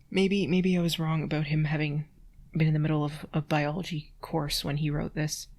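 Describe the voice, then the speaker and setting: A woman's voice: low-pitched, flat delivery, a little mellow. A woman reading a text aloud carefully, breaking off for dry, sarcastic commentary. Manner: dry sarcastic asides between passages; careful, deliberate reading of awkward prose. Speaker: reader and host.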